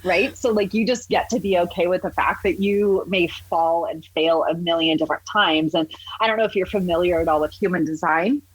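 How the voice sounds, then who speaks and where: A woman's voice: lowish voice, a little fast, breathy edge, no room sound, poor audio. A woman talking conversationally as an interview guest, candid and emphatic, acting out remarks as she goes. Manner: candid, emphatic, acts out quoted remarks, swears casually. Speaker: podcast guest, empowerment coach.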